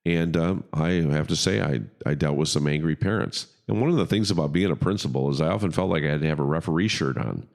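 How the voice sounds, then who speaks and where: Very deep-voiced middle-aged male pastor. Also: quick pace, vocal fry, few pauses. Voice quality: big voice. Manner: emphatic, with frequent "okay?" checks. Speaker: pastor and podcast host. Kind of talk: casual podcast conversation.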